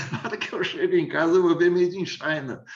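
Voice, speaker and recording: A man's voice: on the high side, brisk, echoey room. A man in his sixties from the interior of São state, explaining at length as an interview guest, hesitant with many repeated restarts. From interior of São Paulo state, Brazil. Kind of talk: explanatory conversational analysis. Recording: dull lo-fi audio.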